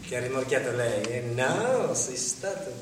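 A man's voice: mid-range voice, expressive, a little slow, lightly acted. An actor playing a crude, needling male coworker who teases and sneers, laughing through his lines, recorded on a theatre stage. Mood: mocking. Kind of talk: stage play acting. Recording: echoey stage from far off, audience.

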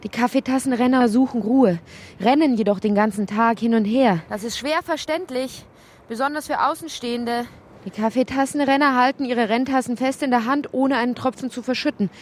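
Actress as a husky, mellow dreamlike storyteller. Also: female voice, on the high side, a little fast, natural voice. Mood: brisk, animated. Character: whimsical literary narrator of a dreamlike tale. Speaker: narrator. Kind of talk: literary radio play narration.

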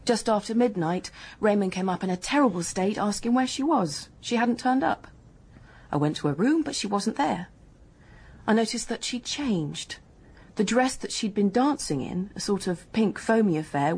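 Husky, hard-headed English dance hostess. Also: female voice, mid-range voice, animated, moderate pace, a little mellow. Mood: defensive, frank. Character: hard-headed working-class hotel dance hostess, guarded, matter-of-fact. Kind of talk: radio drama acting.

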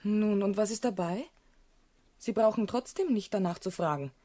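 A woman playing a naive, frank young country gentlewoman in a German radio drama, artless and sure of her own opinions. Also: medium pitch, normal speed, lightly acted. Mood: self-assured, contrary. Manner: artless, self-assured, contrary.

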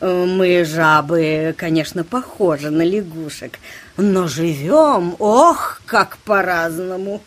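A woman's voice: fairly low pitch, husky, full character voice. A woman voicing a prim, self-important elderly female toad in a children's audio play, haughty and drawling, with theatrical emphasis. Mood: fussy, disdainful.

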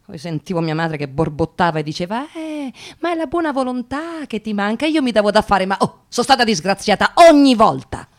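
An actress playing a nervous, tired young unemployed woman in a radio monologue, halting and agitated, repeating her words. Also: mid-range voice, sing-song, close to an everyday voice. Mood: aggrieved. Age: young adult. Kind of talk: radio theatre dramatic monologue.

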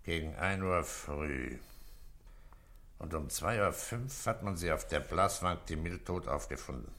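An actor playing a calm, weary, middle-aged police commissioner in a German crime radio play, asking terse, unhurried, probing questions. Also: male voice, rumbling low, full character voice. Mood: weary, dry.

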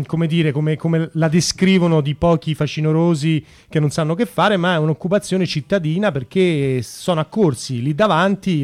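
A man's voice: fairly high pitch, a little mellow, no room sound. A man hosting a radio show, giving informal live news commentary and searching for his words. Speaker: radio host introducing and interviewing.

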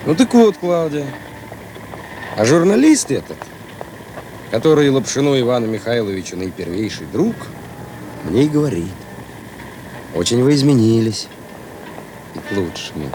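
An actor playing a chatty, jaunty working-class husband in a radio play, colloquial and theatrical. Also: male voice, medium pitch, big pitch swings, moderate pace, long pauses, noisy background, lightly acted. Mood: jaunty, affectionate.

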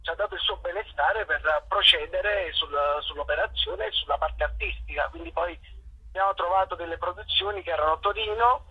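A man from Naples speaks over a muffled phone line. His voice sits high, bright and husky. He talks thoughtfully, pausing and reaching for the right words. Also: expressive. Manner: thoughtful, searching for words with fillers. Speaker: actor guest. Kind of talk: phone interview. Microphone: telephone.

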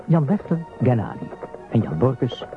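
A man's voice: medium pitch, sing-song, normal speed. A man reading the end credits of a radio drama as a scripted announcement. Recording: narrow phone-like radio broadcast sound. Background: music.

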